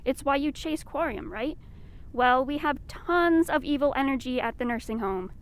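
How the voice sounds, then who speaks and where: A woman playing a cheery but firm nursing home carer, perky and chiding, in an audio fiction comedy. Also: moderate pace. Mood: persuasive. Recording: low rumbling background noise.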